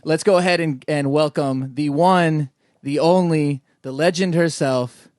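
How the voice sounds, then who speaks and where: A man's voice: on the high side, unhurried, darkish tone, no room sound, clean studio sound. A man hosting a podcast in casual, joking chat, laughing often. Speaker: podcast host.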